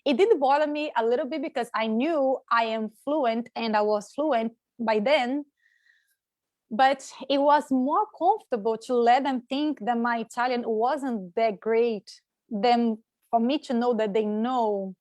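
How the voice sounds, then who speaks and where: A young woman from Brazil whose first language is Portuguese speaks in a slightly high voice. She talks haltingly, with fillers and repeated words. The sound is dry and close. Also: darkish tone, no room sound. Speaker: guest. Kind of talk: casual interview talk.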